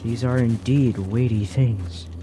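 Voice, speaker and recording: A man's voice: medium pitch, takes it slowly, dark tone, slight background noise, lightly acted. A man playing a troubled, doubting character in a fantasy radio drama, brooding and hesitant in his speech. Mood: distracted, brooding.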